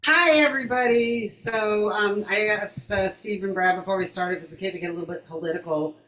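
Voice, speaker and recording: A hoarse woman as a musical guest, chatting in an apologetic, chatty way before singing comic political songs on a video call. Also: mid-range voice, steady tone, fairly quick, a bit bright, recorded from a distance, a little hiss or hum. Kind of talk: comic political song and chat. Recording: roomy home mic with music.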